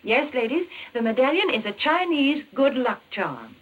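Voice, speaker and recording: A woman with a fairly high, lively voice delivers friendly 1940s radio sales patter. Her intonation swings widely, and the old recording is tinny and narrow. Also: moderate pace. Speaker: radio commercial spokeswoman. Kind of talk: 1940s radio advertising pitch.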